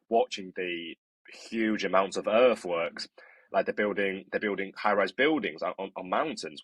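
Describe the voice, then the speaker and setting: A man's voice: on the low side, fairly bright tone, husky, no echo, poor audio. A man, a construction student speaking earnestly and hesitantly in a podcast interview. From northeast China. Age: 20s. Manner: earnest, hesitant, many restarts.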